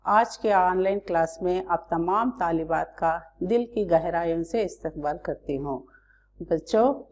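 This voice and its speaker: A woman's voice: fairly low pitch, a little mellow. A woman teacher from India giving an online class, asking pupils questions and explaining carefully.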